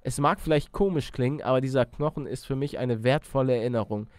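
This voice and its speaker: A man's voice: mid-range voice, fast talker, a little mellow, smooth, no room sound, close to an everyday voice. A man voicing a courteous, sentimental ship captain as he reads out video game dialogue. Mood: earnest, sentimental. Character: plain-spoken male ship captain, polite and sentimental.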